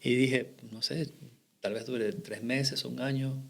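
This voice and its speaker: Man from Ecuador, middle-aged, earnest, reflective, mid-pitched voice, missionary podcast guest. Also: fairly even intonation, takes it slowly, gravelly. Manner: earnest, reflective, with short restarts. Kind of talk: podcast interview, faith testimony.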